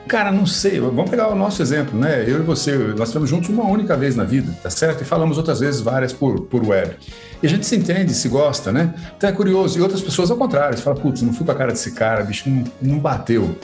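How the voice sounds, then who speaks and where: A man's voice: on the high side, a little mellow. A man, explaining things conversationally as a guest, chatty and colloquial with frequent tag questions. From Sorocaba, São Paulo state, Brazil. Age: 50s. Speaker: podcast guest.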